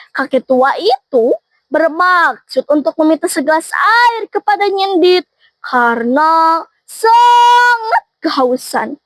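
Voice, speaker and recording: A woman's voice: extremely high pitch, dry recording. A woman telling a folk tale expressively, with dramatic sound words. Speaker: storyteller. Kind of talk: folk tale storytelling for a contest.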